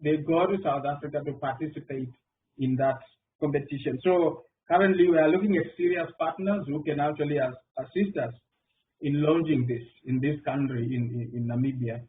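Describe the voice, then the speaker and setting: A man's voice: on the high side, fairly even intonation, fairly quick, far from the mic. Man on a tinny video call. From Zimbabwe. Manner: hesitant, many fillers and restarts. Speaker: mathematics professor, panelist. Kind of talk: round table answer. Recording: phone-like online call audio with faint noise.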